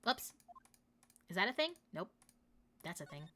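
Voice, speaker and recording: Hoarse, stuffy young woman streamer. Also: mid-range voice, very animated, a little slow, a little hiss or hum. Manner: chatty and warm with chat, many likes. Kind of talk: casual game stream chat, reading dialogue aloud.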